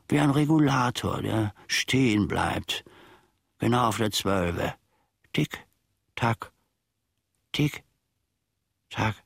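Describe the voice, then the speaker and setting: An actor playing a melancholy, self-mocking old manservant in a radio play monologue, ruminating haltingly with long dramatic pauses. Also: male voice, on the low side, gravelly, husky, no room sound, studio quality, full character voice. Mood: wistful, wry, then wry reminiscing. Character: melancholy, wryly self-mocking old manservant looking back on his life.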